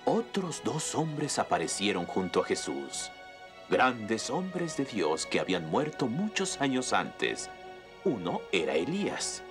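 A lively-toned storytelling baker. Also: male voice, on the low side, slow, over music, full character voice. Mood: calm.

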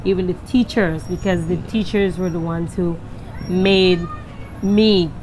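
A woman's voice: on the low side, fairly even intonation, unhurried, noisy background. A young woman with a Guyanese accent, answering interview questions with confidence and frequent laughs. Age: young adult. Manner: confident, laughs often, speaks with emphasis. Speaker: singer and interview guest. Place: outdoor terrace by a balustrade. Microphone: handheld mic held by the interviewer.

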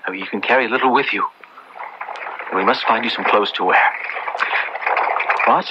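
An actor playing an anxious, compassionate parson in a radio drama, speaking earnestly and urgently in formal, old-fashioned English. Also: male voice, on the low side, normal speed, natural voice. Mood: frantic. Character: large, anxious, compassionate male parson helping a fugitive. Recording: muffled, very noisy old radio recording.

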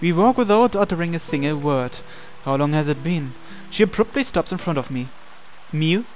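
A man's voice: fairly high pitch, expressive, moderate pace. A young man reading a visual novel's narration and dialogue aloud as the host. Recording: music behind the voice. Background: game background music.

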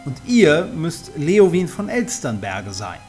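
A man playing an authoritative, formal reeve in a fantasy audio drama, speaking deliberately and with command. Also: a little high, sing-song, a little mellow, faint music behind. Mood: formal, measured. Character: commanding male reeve on horseback, brisk and authoritative.

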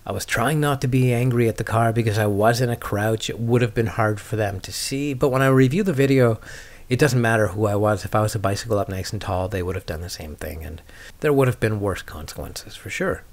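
A man's voice: on the low side, fairly quick. A man hosting a skating podcast, chatting casually and ramblingly with dry, wry humour as he answers viewer questions.

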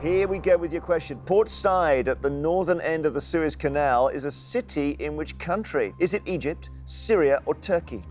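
Male game show host, slightly high voice, crisp studio delivery, faint music under. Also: a little mellow. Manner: brisk, crisp announcing, reading questions aloud. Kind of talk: scripted game show presenting. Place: large TV studio indoors. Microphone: lapel mic.

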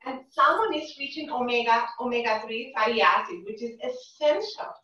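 A middle-aged woman chef explains each step of a dish as she cooks. Her voice sits a little high and keeps checking in with the viewers. She is recorded from across a home kitchen, so the sound is roomy, distant and dull. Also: expressive, moderate pace, pushed and bright. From Dominican and Cuban family. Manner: chatty, explains while she cooks, says "right?" often. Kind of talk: live cooking demonstration. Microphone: camera across the kitchen.

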